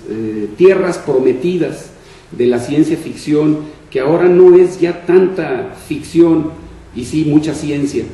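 An older man giving a public panel talk in a deliberate, formal, measured way into a table microphone. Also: fairly high pitch, mellow. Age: older, 60s or 70s. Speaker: panel speaker on science fiction. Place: indoor room at a panel table. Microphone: shared table microphone on stand. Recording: echoey room, distant mic, faint noise.

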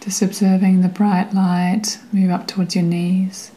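A woman guiding a meditation in a gentle, soothing way, with a soft, sometimes breathy voice and long pauses between phrases. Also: fairly low pitch, flat delivery. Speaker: meditation guide. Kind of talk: guided meditation narration.

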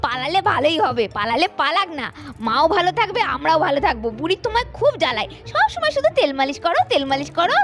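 A woman's voice: very high-pitched, very animated, fast, music in the background. A woman voicing a cheeky, gossipy young daughter-in-law, teasing and urgent, in a puppet cartoon. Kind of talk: Bengali puppet cartoon voice acting.

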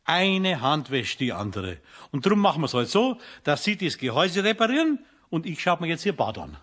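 Casting: chatty Bavarian plumber, puzzled, highish voice, very lively intonation. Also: male voice, moderate pace. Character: affable, puzzled male plumber customer. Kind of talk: children's radio play acting.

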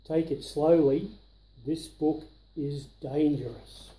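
An older man reading a children's picture book aloud with deliberate, emphatic delivery of the rhymes, recorded in a living room. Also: fairly high pitch, steady tone, warm tone, reverberant, low-quality recording. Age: 60s or 70s.

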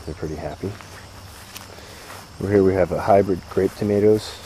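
A man with a very low voice walks through his farm fields, narrating casually to a handheld camera. He rambles easily with plenty of "uh"s. Crickets and insects buzz clearly in the outdoor background. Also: normal speed, dark tone, slight background noise, low-quality recording. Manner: easygoing, rambling, frequent "uh" fillers. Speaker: farmer giving a garden tour. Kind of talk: casual walking tour commentary. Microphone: handheld camera mic, walking.

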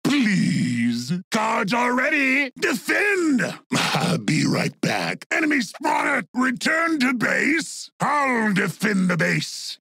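An actor voicing a goofy, excitable blue orc shaman for a video game, gravelly and exaggerated, with a childlike, dim but gleefully destructive manner. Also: very high-pitched, dry recording, clean studio sound, heavily acted. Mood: eager, urgent. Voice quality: gravelly, raspy. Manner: exaggerated, childlike, simple-minded delivery. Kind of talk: video game character voice lines.

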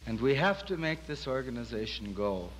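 A man's voice: medium pitch, animated, moderate pace, fairly bright tone. A man from Minnesota, a board member who lost an election, giving an earnest, resolute convention speech. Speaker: defeated candidate, board member. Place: large convention hall. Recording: reverberant convention hall with hum.

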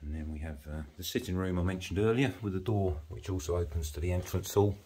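A man giving a matter-of-fact, halting walk-through commentary as an estate agent, listing features as he moves through a house. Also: low-pitched, mellow, slight background noise. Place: walking through rooms of a house.

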